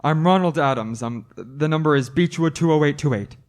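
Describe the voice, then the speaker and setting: A man's voice: on the high side, a little fast, natural voice. A man playing a haunted, frightened young traveller in a suspense radio play, dramatic and prone to stammering. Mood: anxious. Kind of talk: old-time suspense radio play acting.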